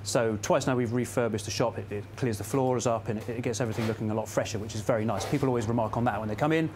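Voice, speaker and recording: A man's voice: medium pitch, rapid-fire. A man talking informally in an interview as a shopkeeper. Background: guitar music.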